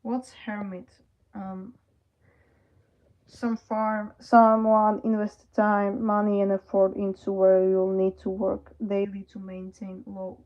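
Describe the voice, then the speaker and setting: A young woman livestreaming, reading chat messages aloud and answering them hesitantly, with many pauses and fillers. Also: medium pitch, monotone, muffled recording.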